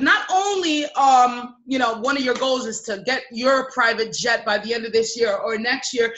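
A woman's voice: high voice, a bit bright, rough recording. A woman hosting an interview on a video call from an office, opening with a showy introduction and gesturing energetically as she talks. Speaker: interview host. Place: office with whiteboards. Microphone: laptop webcam on a video call.

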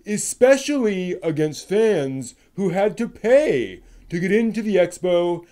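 A man voicing an indignant puppet fan, declaiming slowly and emphatically in a comic dramatic reading. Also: high-pitched, big pitch swings, warm tone. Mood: aggrieved. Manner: slow, emphatic, aggrieved declaiming.

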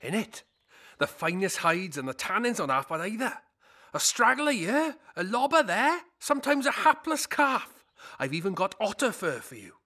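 A sly village trader for a fantasy game, with a fairly high, sharp and forceful male voice. He sounds folksy and shrewd, always haggling. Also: sing-song, moderate pace, heavily acted. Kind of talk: video game character acting.

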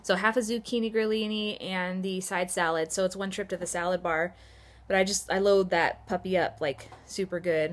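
A woman in her thirties with an American accent, chatting casually and rambling a little as she vlogs. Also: fairly low pitch. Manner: informal, conversational, rambling. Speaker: vlogger. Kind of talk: casual vlog chat. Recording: clearly noisy phone video sound.

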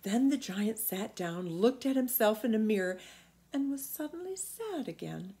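A woman's voice: on the high side, sing-song, normal speed, warm tone. A middle-aged woman reading a children's storybook aloud expressively, recorded in a room at home. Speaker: storybook reader. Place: small indoor room at home.

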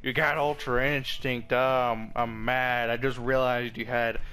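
A man's voice: mid-range voice, flat delivery, a little slow, sharp tone, clean tone. A man voicing an arrogant alien warrior prince in an offhand, grumbling paraphrase, close to his own voice.

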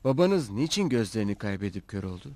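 A man playing a composed, authoritative young governor in a cartoon dub, with a measured manner. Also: on the low side, big pitch swings, darkish tone, no echo.

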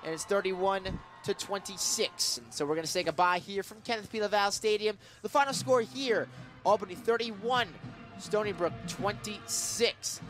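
A man doing live radio play-by-play of football, excitable and stretching his words on big plays. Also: sits high, moderate pace, soft background music. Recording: live radio sports broadcast.

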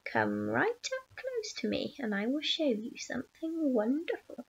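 A woman voicing a small, mysterious old man who speaks slowly and creakily, often in a coaxing whisper. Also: sits high, wildly swooping pitch, heavily acted. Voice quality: slow and creaky. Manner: whispering, conspiratorial. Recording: dull lo-fi recording.

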